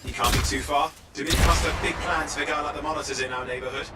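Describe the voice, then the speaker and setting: A man's voice: a little high, fast, lightly acted. An actor playing an eager, wisecracking young man who gives chatty mission support in a video game. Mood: focused. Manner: chatty, jokey, excitable. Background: loud game music.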